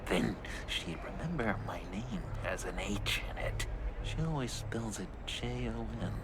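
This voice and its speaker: Unhinged lovestruck game villain, slow n creaky, breathy rambling w sudden outbursts. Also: male voice, lowish voice, expressive, background noise. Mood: scheming, musing. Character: lovesick, unstable, eccentric young male criminal. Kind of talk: video game voice acting.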